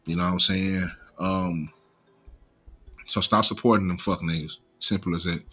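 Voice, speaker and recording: A man's voice: low-pitched, steady tone, moderate pace, bright, forceful tone, husky. An American man with a slight stutter, talking off the cuff as an online commentary host in slangy, profane, casual language. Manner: imperfect pronunciation of some words.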